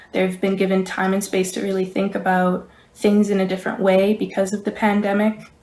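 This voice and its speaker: A woman's voice: mid-range voice, darkish tone. A young woman speaking thoughtfully as a guest in a podcast discussion. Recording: roomy, echoey voice pickup.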